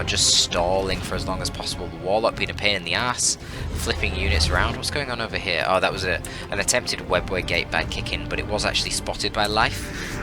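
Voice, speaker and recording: A man with a low-pitched voice commentates a strategy game for his viewers. He is chatty and informal, breaking the play down analytically with a light joking touch. Game music plays clearly behind his voice. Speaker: esports caster and channel host.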